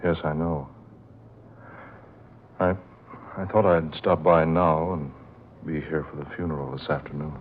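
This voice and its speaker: Mild, tender fiancé, low-pitched, 1940s radio acting on a faintly hissy recording. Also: male voice, fairly even intonation, a little slow, long pauses, phone-quality sound. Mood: tender, subdued. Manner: polite, soothing. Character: tender, mild-mannered fiancé, somewhat evasive.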